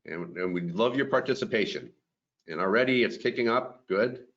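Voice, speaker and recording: Low-voiced man in his fifties hosting a webinar. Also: lively intonation, normal speed, a bit bright, muffled recording. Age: 50s. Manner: upbeat, friendly moderator running polls. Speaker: webinar host.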